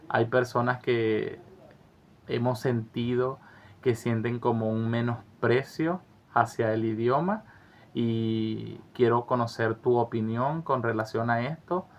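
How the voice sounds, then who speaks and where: A man's voice: mid-range voice, highs cut off. A man from Venezuela, co-hosting and chatting earnestly and reflectively about life abroad. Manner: earnest, reflective, some hesitant restarts. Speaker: co-host of travel channel. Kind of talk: casual conversational commentary.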